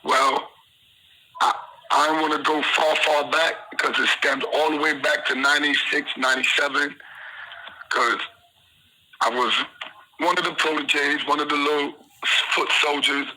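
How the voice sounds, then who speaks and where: A man's voice: on the high side, little pitch movement, unhurried, pauses often, pushed and bright, slight background noise. A man from Trinidad telling his story over a phone line in a rambling, street-slang way. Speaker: incarcerated guest on the phone. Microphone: phone call.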